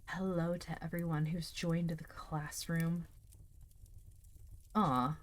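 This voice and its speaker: Casting: female livestream storytime host, lowish voice, calm and chatty, casual delivery. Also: slow pace. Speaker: livestream host reading stories aloud.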